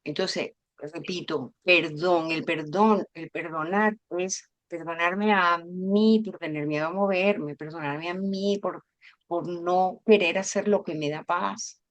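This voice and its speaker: A woman who grew up between Venezuela and Madrid leads an informal spiritual workshop, her voice sitting a little low. She tells stories with expression, acting out little bits of dialogue. She speaks over a video call. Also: slow, muffled recording. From Venezuela and Spain. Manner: expressive, warm storyteller who acts out dialogue. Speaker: teacher giving a workshop.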